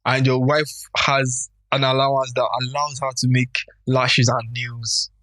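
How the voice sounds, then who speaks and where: A young man with a Nigerian English accent teases and boasts through a relaxed podcast chat. His voice sits at a middle pitch. The sound is dry, with no room behind it. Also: unhurried, dry recording, low-quality recording. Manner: playful, boastful teasing. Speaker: podcast co-host. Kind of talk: casual banter.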